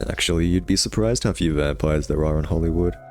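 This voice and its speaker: Man acting as a cocky vampire, extremely low voice, sardonic, taunting, intimate ASMR roleplay, music underneath. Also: fast, a little mellow, lightly acted. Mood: smug, teasing. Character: cocky, teasing male vampire, bored and sardonic nemesis. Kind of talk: ASMR roleplay audio drama, intimate address to listener.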